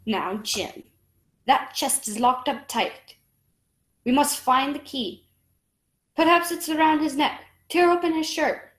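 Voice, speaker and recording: A woman's voice: sits high, slow pace, long pauses, a bit bright, echoey room, rough recording. A woman playing an indignant, stubborn widowed mother and innkeeper in a radio play, with dramatic, determined delivery.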